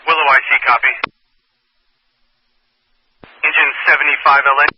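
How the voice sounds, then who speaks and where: A man's voice: on the high side, flat delivery, moderate pace, highs cut off. A man working as a fire dispatcher on two-way radio, matter-of-fact and clipped, repeating details back in procedural phrases. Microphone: radio dispatch channel. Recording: noisy band-limited scanner radio, clicks.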